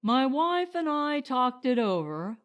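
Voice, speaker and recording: Principled male settler for audiobook female reader, pointed and firm, slightly high pitch, unhurried. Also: very animated, fairly bright tone, heavily acted. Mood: resolute. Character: principled, blunt husband and would-be settler. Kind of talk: audiobook character dialogue.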